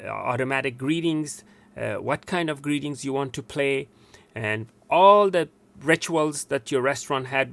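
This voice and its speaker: A man's voice: mid-range voice, creaky. A man presenting a step-by-step technical tutorial in an instructional way, repeating steps for emphasis.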